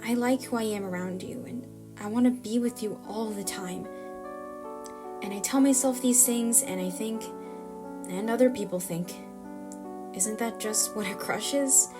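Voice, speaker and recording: An awkward young camera operator, voiced by a woman in an audio drama, talks over clear music. The delivery is hesitant and rambling, full of anxious self-doubt. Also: medium pitch, measured pace, pauses often, lightly acted. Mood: earnest, anxious.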